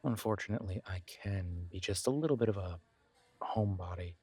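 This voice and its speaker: A man playing a friendly, reassuring homebody best friend in an intimate one-sided roleplay audio, talking casually in halting, gently encouraging phrases. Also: low voice, breathy edge, dry recording. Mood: gentle, reassuring.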